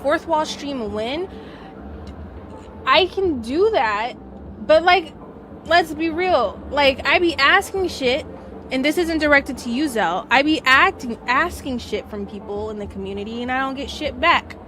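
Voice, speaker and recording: A woman's voice: high-pitched, lively intonation, a little slow, pushed and bright, slight background noise. A woman live streamer chatting casually and slangily to her viewers.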